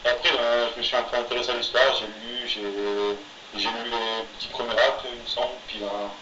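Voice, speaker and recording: A man with a bright, forceful voice tells stories haltingly on a homemade podcast, filling gaps with hesitations. He sounds distant in a roomy space, and the recording is dull and lo-fi. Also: medium pitch, level tone, slight background noise. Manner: halting, filler-heavy storytelling. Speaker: podcast co-host, manga artist. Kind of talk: casual chat.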